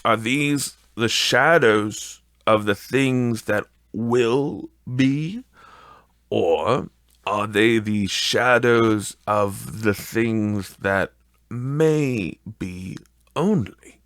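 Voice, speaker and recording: A man's voice: lowish voice, a bit bright, dry recording, clean studio sound, heavily acted. A man playing a frightened, repentant old miser in a storybook reading, pleading fervently and drawing out his words. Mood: anxious, pleading. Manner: pleading, fervent, drawn-out delivery. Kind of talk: dramatic audiobook character acting.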